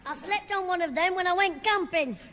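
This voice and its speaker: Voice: very high-pitched, a little fast, pushed and bright. Piping, cheeky Northern English schoolboy. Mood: cheeky, argumentative. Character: cheeky mischievous young schoolboy, quick with backchat. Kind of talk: 1960s British radio sitcom acting. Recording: old radio recording, heavy noise, hall reverb.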